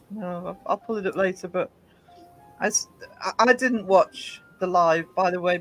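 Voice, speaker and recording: A woman's voice: on the low side, low-quality recording. A woman hosting a live stream at a desk mic, chatty and full of fillers, coughing from hay fever with her voice going. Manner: chatty, greets chat by name, lots of fillers and restarts. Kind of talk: casual live stream chat. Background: quiet self-made tune playing.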